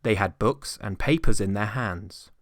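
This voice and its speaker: A man's voice: on the low side, a little slow, no echo, studio quality. A British man reading a classic story as a dramatic, scripted narration. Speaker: actor reading an audiobook.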